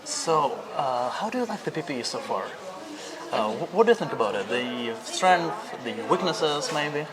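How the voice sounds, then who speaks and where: A man's voice: fairly high pitch, very animated, normal speed, crowd noise. A man interviewing a student, friendly and asking short follow-up questions.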